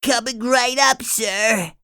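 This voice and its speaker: Woman voicing sick cartoon sponge boy: very high, nasal, stuffy, slow delivery, dry read. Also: sharp tone, smooth, dry recording, heavily acted. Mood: sick, pleading. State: sniffly with a cold. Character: cheerful young male sea sponge, eager. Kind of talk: storybook read-aloud.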